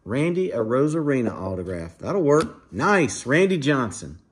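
A man casually commenting to viewers while opening trading card packs at a dining table at home, in a relaxed, chatty way. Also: mid-range voice, a little slow, slightly rough. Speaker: card breaker, channel host.

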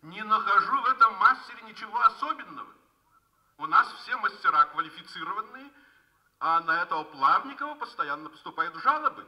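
A man's voice: sits high, expressive, a little fast, highs cut off. An actor in a Soviet radio drama playing a curt, dismissive male manager with an officious, clipped manner.

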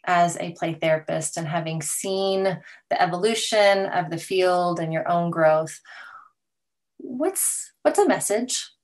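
Slightly low-voiced woman podcast host. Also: expressive, slow pace. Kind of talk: conversational interviewing.

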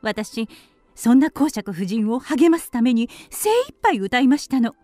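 A woman's voice: on the high side, lively intonation, measured pace, dark tone, dry recording, soft background music. A woman voicing a refined female canary who politely tells her life story in a radio drama. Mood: earnest recounting. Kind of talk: Japanese radio drama narration.

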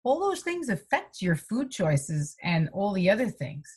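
A woman's voice: medium pitch, unhurried, slightly rough. A middle-aged woman from the United States, a health coach being interviewed over a video call at home, rambling along with many fillers. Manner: rambling, lively, many "you know" fillers, frequent laughs. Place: small room at home, indoors. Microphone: webcam on a video call.

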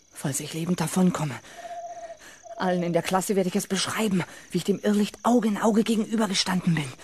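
Jittery, imaginative schoolboy, noisy old recording. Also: male voice, high-pitched. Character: imaginative, jittery schoolboy talking to himself, bragging. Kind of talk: 1970s German radio play acting.

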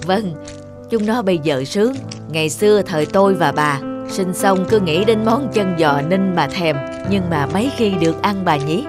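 A woman's voice: lowish voice, moderate pace, over music, lightly acted. Doting old granny played by a woman. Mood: homely, gentle. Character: doting, frugal, old-fashioned elderly village grandmother. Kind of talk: cartoon dialogue.

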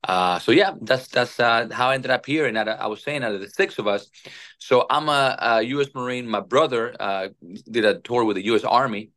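A man's voice: mid-range voice, moderate pace, a bit bright. A man in his forties from Venezuela, a Marine veteran guest, talking politely and earnestly on a phone video call. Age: 40s. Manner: polite, earnest, many fillers. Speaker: guest, retired Marine officer. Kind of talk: casual interview. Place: indoor room. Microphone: phone at arm's length.

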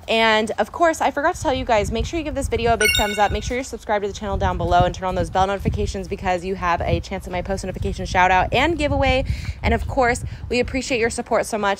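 A woman's voice: medium pitch, background noise. Chatty young woman vlogging from a barn. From San Diego, California. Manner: chatty, enthusiastic, repeats "super important". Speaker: horse owner vlogger. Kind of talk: casual vlog narration. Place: outdoor horse barn.

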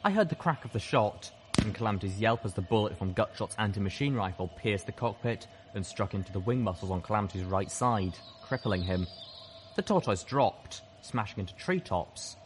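A man with a fairly low voice narrates a scripted story in the manner of an audiobook. There is some faint noise behind his voice. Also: animated, normal speed.

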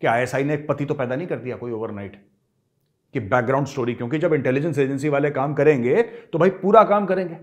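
A man's voice: medium pitch, fast talker. A male commentator explaining a point at length, emphatic, repeating himself and speaking straight to the audience. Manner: emphatic, repeats his points, addresses viewers directly. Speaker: commentator on a talk show.

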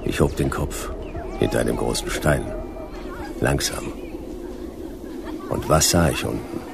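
Casting: hard-boiled radio-play detective, rock-bottom pitch, gravelly, laconic and deadpan. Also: male voice, a little slow, a crowd in the background, background noise, heavily acted. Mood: tense, brooding. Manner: terse, deadpan. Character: laconic, world-weary male private detective. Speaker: detective and narrator.

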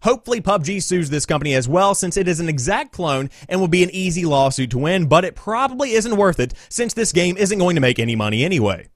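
A man's voice: sits high, very animated, quick pace, hardly any pauses, no echo, studio quality. A man reading written reviews aloud in an emphatic, dramatic comic style. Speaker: video presenter reading user reviews.

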